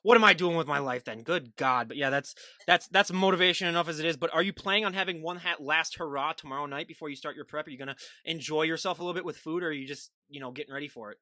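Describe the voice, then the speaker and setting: A man in his mid-twenties hosting a podcast, joking and excitable as he questions his guest. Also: on the high side, quick pace, few pauses, dry recording, clean studio sound. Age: 20s. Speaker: podcast host. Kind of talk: casual podcast interview.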